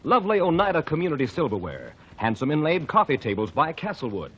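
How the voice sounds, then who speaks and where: A male announcer with a husky voice reads with persuasive, polished delivery. His voice sits slightly high and moves a lot in pitch. The sound is dull, like a 1940s radio broadcast. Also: sing-song, fast talker, fairly bright tone. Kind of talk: scripted commercial and narration.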